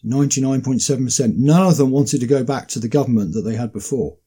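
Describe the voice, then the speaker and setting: A man's voice: mid-range voice, fast talker, warm tone, breathy edge. A man in casual discussion, commenting and repeating phrases for emphasis as he hosts a talk. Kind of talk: casual discussion with read-out quotations.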